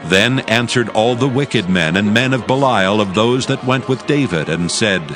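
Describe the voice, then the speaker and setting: A man narrating dramatized scripture in a solemn, measured, formal way. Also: on the low side. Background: music.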